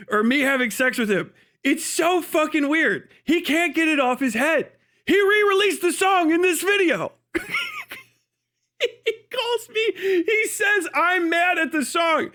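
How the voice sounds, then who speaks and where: Man, extremely high pitch, forceful bright tone, profane, mocking, incredulous, livestream rant. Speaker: livestreamer reacting to a video. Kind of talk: ranting casual livestream commentary.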